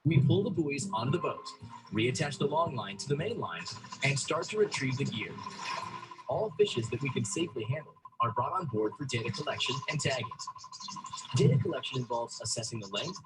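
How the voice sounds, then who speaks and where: A man reading scripted narration for an explainer video in a steady, explanatory way. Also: mid-range voice, a little fast, noisy background.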